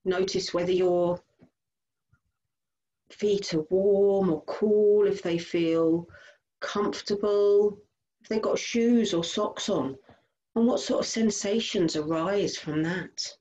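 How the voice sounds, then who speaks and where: A woman leading a guided body scan meditation as a teacher, giving gentle instructions in short phrases with unhurried pauses. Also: medium pitch, muffled recording.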